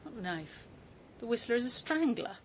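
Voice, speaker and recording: An actress playing a sharp, accusing woman who speaks in a pointed, challenging way in a radio drama. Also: fairly high pitch, very animated, moderate pace, a little hiss or hum, low-quality recording. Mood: needling.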